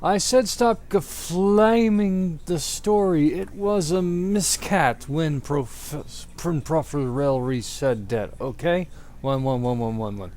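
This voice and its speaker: A man with a fairly high voice reads a story aloud at a very unhurried pace. His delivery is theatrical and exaggerated, as in a mock-dramatic amateur reading. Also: a little mellow. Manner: theatrical, exaggerated delivery, often breaking into giggles. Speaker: amateur reader of fan fiction. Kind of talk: mock-dramatic reading of badly written fiction.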